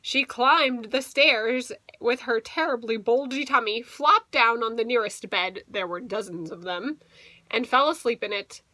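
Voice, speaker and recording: A woman's voice: fairly high pitch, a little slow, sharp tone, no echo. A woman teacher reading a picture book aloud to young children, expressively and with little explanatory asides.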